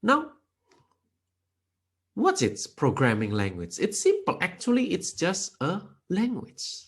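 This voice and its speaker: Slow, careful Indonesian-accented man. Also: slightly rough. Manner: slow, careful, with non-native grammar slips; lively intonation with sudden pitch rises. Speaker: lecturer. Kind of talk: programming tutorial.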